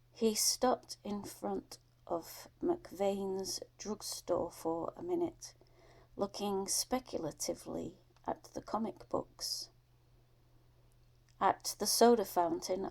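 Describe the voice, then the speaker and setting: A woman narrating an audiobook story in a measured, deliberate storytelling manner. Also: medium pitch, dark tone.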